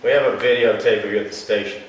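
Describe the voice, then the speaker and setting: A man's voice: on the low side, steady tone, fast, lightly acted. A man voicing a hefty, confident, bullying older policeman in a dramatic story reading. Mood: stern accusing. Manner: accusing, commanding. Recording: echoey hall from far off, hiss.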